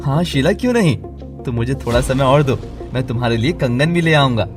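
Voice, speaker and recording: A man's voice: mid-range voice, animated, a little fast, close to an everyday voice. Man acting meek, pleading poor husband over music. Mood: coaxing. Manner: pleading, earnest. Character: poor, hardworking, meek, devoted laborer husband. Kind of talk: animated moral story acting.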